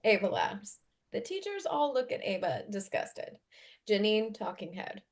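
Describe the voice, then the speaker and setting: Woman reading stage directions, mid pitch. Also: sing-song, a little slow. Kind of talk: scripted narration at a table read.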